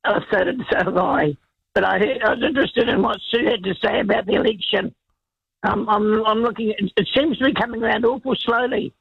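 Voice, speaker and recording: A New woman rings in to talk radio, her voice croaky and gravelly with a breathy rasp. She chats in loose, repetitive restarts. The call comes through a dull, lo-fi phone line. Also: on the high side, fairly quick. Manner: chatty, repeats herself with restarts. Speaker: caller. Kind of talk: casual radio call-in chat. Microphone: telephone.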